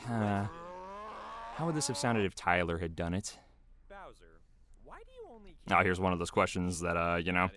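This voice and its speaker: A man's voice: deep voice, pushed and bright. A man giving casual, unscripted behind-the-scenes commentary in a rambling, hesitant way.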